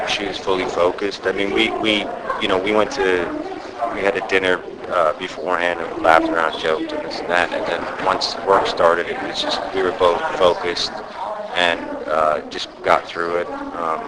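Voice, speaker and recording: A man, an actor, answering interview questions about his film in a halting, low-key way with many fillers. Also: little pitch movement, heavy background noise. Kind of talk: casual press interview. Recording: loud crowd chatter behind.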